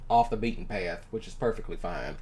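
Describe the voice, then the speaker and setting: A man improvising a casual boyfriend roleplay, talking in a folksy, halting way with drawn-out pauses. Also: sits low, a little mellow, slightly rough. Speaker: ASMR roleplay performer.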